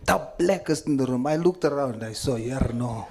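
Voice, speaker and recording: A man's voice: medium pitch, moderate pace, noisy background. A South African man whose first language is Afrikaans, giving an earnest, impassioned formal address at a committee hearing, repeating key points for emphasis. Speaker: member speaking in a committee hearing.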